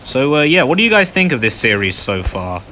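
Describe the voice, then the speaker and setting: A man's voice: medium pitch, sing-song, a little fast, noisy background. A man hosting a gaming video with casual commentary, often muttering asides to himself. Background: game music.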